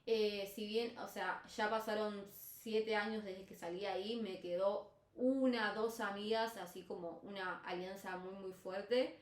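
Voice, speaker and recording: A woman's voice: mid-range voice, fairly even intonation, unhurried, hardly any pauses, echoey room, far from the mic. A young woman from Argentina with a Buenos Aires accent, speaking candidly in a rambling confessional vlog with many restarts. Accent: Buenos Aires Spanish. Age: young adult. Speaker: vlogger. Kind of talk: personal confessional monologue.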